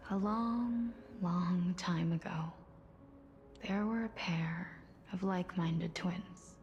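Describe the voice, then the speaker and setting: A woman playing a sorrowful, soft-spoken demigod of death in a video game, solemn and breathy. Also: lowish voice, slow, muffled recording. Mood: solemn, reflective. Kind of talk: English video game voice acting, slow storytelling. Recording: game dialogue under loud music.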